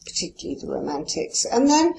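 A woman's voice: fairly low pitch, very animated, fast, creaky, dark tone, low-quality recording. A woman poet who grew up speaking Farsi, answering interview questions in a musing, hesitant way with light laughs. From Iran. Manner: musing and hesitant, many "you know" fillers, light laughs.

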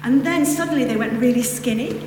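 A woman's voice: fairly high pitch, fairly quick. A woman with a northern English accent gives a reflective Buddhist teaching, meandering through casual asides. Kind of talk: dharma talk to a group. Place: hall with a lectern. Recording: echoey hall, distant mic, background noise.

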